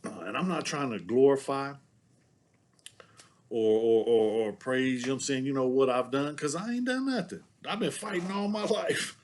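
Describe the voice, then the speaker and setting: A middle-aged man with a Southern US accent speaks straight to his viewers in a casual, meandering monologue. He circles back on himself, tacking a steady stream of filler tags onto his sentences. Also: mid-range voice, moderate pace, slight background noise. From San Diego, California. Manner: rambling, loaded with "you know what I'm saying" tags. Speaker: vlogger.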